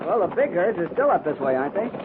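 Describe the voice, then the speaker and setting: A man's voice: sits high, big pitch swings, fast. An American actor playing an earnest, respectful cavalry soldier addressing his superior in a 1950s radio western, plain-spoken and deferential. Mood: earnest, curious. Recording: tinny, heavily noisy 1950s radio recording.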